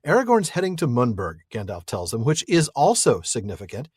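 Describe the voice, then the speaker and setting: A man's voice: mid-range voice, huge pitch swings, a little fast, darkish tone, husky, dry recording, clean studio sound. A man co-hosting a podcast, reading listener mail and reasoning aloud in an easygoing way, chuckling often. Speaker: podcast co-host.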